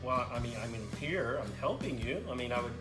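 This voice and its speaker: A man speaking casually and a little haltingly as a sidekick on a children's puppet show, making up stories. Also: medium pitch, lively intonation. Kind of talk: casual improvised storytelling for kids. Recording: roomy echo, faint music behind.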